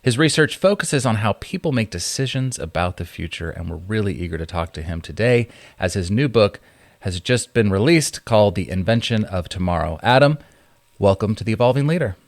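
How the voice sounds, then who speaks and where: Male co-host, low register, upbeat, dry close-mic sound. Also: fairly quick, vocal fry, no room sound. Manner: upbeat, energetic. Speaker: podcast co-host. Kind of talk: casual chat and guest introduction.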